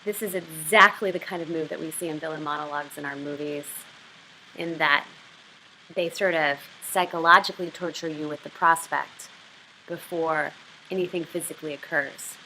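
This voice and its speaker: A woman's voice: low voice, fairly even intonation, normal speed, bright, forceful tone, a little hiss or hum, rough recording. A woman giving an explanatory lesson as a writing instructor, talking through a literary passage.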